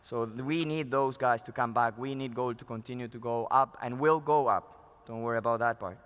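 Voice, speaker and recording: A man with a Spanish accent delivers an investor presentation in a reverberant conference hall. His voice is clear and resonant, and he speaks in halting, emphatic phrases, like an executive addressing a room. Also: mid-range voice, a bit bright. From Peru. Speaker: mining company chief executive presenting. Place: large indoor conference room.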